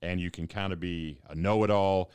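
A man's voice: sits low, steady tone, moderate pace, darkish tone, clear, resonant tone, no echo. A man pastor talking thoughtfully in a casual panel discussion, often repeating words as he thinks.

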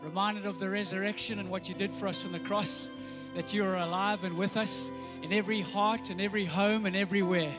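A middle-aged South African man with a slightly croaky voice, praying and preaching a sermon with earnest, rising emphasis. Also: high voice, fairly even intonation, brisk, music in the background. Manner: earnest, builds to rousing emphasis. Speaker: pastor. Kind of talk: sermon with prayer.

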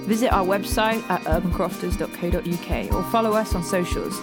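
A woman reading a scripted podcast outro in a clear, promotional way. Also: fairly low pitch, fairly quick. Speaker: podcast announcer. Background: music.

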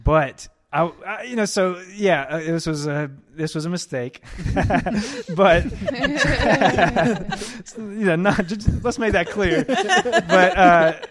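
A man's voice: high-pitched, sing-song, slow pace, a bit bright. A man in his thirties telling a rambling story on a podcast, laughing often and circling back on himself. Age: 30s. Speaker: podcast host. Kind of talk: casual chat.

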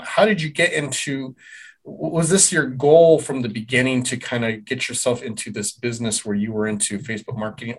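Casting: American male podcast host, informal and chatty, conversational interviewer. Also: medium pitch. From Tucson, Arizona, United States. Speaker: podcast host and small business owner.

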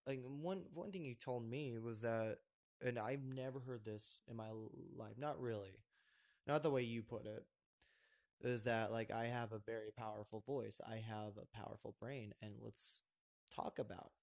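A man's voice: mid-range voice, steady tone, normal speed, a little mellow. A young man hosting a casual podcast chat, rambling with lots of fillers and restarts. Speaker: podcast host.

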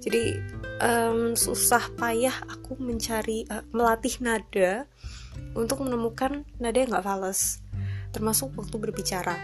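A woman in her twenties with a light Javanese accent, hosting her own podcast in a chatty, self-deprecating way and dropping in English phrases, her intonation a little flat. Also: on the high side, unhurried. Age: 20s. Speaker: podcast host. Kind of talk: casual solo podcast monologue. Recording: music playing under the voice.

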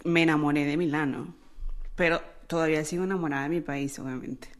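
A woman's voice: low-pitched, fairly even intonation, fairly quick. A Venezuelan woman with a Venezuelan Spanish accent, co-hosting a casual podcast and chatting in an informal, slangy way. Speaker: podcast co-host. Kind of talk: casual, gossipy podcast chat.

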